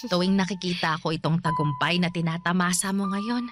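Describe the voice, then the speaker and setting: A woman playing a proud, warm-hearted elderly mother in a radio drama, with a Filipino accent and fond, exclaiming delivery. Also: on the low side, wildly swooping pitch, moderate pace. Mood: proud, touched. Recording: music under the dialogue.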